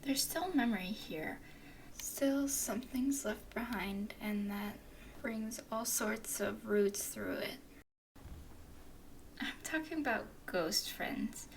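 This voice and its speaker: A woman voicing a flirty, teasing female werewolf explorer in an ASMR roleplay, speaking in a soft whisper with a playful drawl. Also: fairly high pitch, takes it slowly, slight background noise, low-quality recording.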